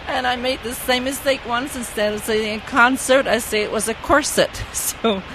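A woman's voice: a little high, normal speed, background noise. Giggly Dutch-accented woman. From Netherlands. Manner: giggly, laughs often while telling stories. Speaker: former flight attendant. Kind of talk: casual anecdote telling.

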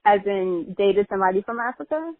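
A woman's voice: mid-range voice, lively intonation, a little fast, dry recording. A woman guest on a podcast, speaking thoughtfully with hesitant restarts. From Nigeria (family), raised in America. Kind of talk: casual chat. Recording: dry thin phone-like sound.

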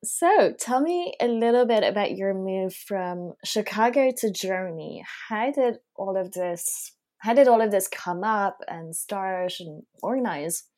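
A woman's voice: medium pitch, expressive, a little slow, few pauses, darkish tone. A woman hosting a podcast, speaking in the style of a casual interview with introductions. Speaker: podcast host.

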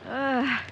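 A woman's voice: high voice, fairly bright tone, full character voice. A young woman playing a stubborn, grumbling searcher in an audio drama, candid and wry as she thinks aloud. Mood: wistful, amused. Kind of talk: audio drama monologue into a recorder. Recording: muffled, noisy outdoor-style ambience.

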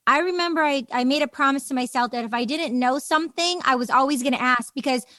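A woman's voice: sits high, fast talker, sharp tone, no echo, studio quality. A woman talking conversationally as the interviewer on a casual podcast.

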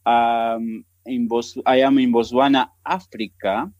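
Argentine missionary, halting, searching for words. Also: male voice, mid-range voice, level tone, a little mellow, no room sound, dull audio. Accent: Argentine Spanish. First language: Spanish. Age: 30s. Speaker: missionary guest. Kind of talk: podcast interview.

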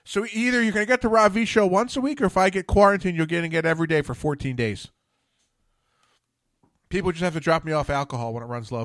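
A man's voice: on the high side, fairly bright tone, no room sound, studio quality. A man in his thirties hosting a casual call-in radio show, blunt and rambling, a bit tipsy. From Philadelphia area. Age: 30s. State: tipsy, coughing. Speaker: host.

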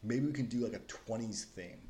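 Man, late twenties, podcast co-host; mellow tone, upbeat and joking, echoey room. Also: fairly low pitch, normal speed, a little hiss or hum. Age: late 20s. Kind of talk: casual chat. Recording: echoey room, faint ambience.

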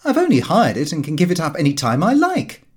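Storybook role: brash young son played by a male reader, self-assured, excitable, slangy, wildly animated delivery with some huskiness. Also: fairly high pitch, a little fast, husky, voice pushed up, exaggerated voice. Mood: cocky, persuasive.

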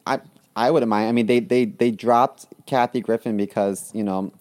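A man's voice: mid-range voice, fairly even intonation, moderate pace, a little mellow, a little hiss or hum. A man co-hosting a comedy podcast, telling crude jokes and laughing often in casual chat. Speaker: podcast co-host.

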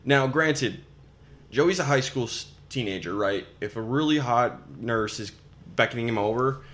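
A man in his twenties recapping a horror film in casual chat, acting out lines as he goes. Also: medium pitch. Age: 20s. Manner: animated, fast recapping with acted-out quotes. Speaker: podcast co-host.

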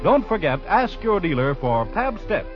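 A man's voice: high-pitched, sing-song, moderate pace, over music. A man as a commercial announcer, reading a scripted 1940s radio advertisement. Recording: dull vintage radio recording.

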